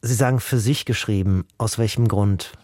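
A man's voice: fairly low pitch, moderate pace, dark tone, no room sound, studio quality. A man hosting a radio music interview, talking conversationally and thinking aloud as he shares his opinions. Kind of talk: radio interview with spoken introduction.

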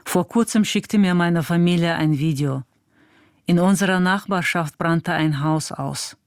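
A woman speaks in articulate, prepared answers at a measured step. Her voice sits at a medium-low pitch and is recorded very dry, with no room around it. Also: darkish tone, no echo.

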